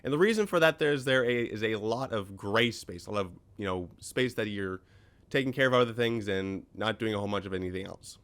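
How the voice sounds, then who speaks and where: This is a man with a somewhat low voice, still a little scratchy as he gets over a cold. He talks through game gear in a loose, rambling way, full of ums and half-finished words. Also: expressive, brisk, a bit bright, clean tone. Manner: rambling, many ums and self-corrections. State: slightly scratchy voice after a cold. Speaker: gaming video host. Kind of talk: casual let's-play commentary explaining game gear.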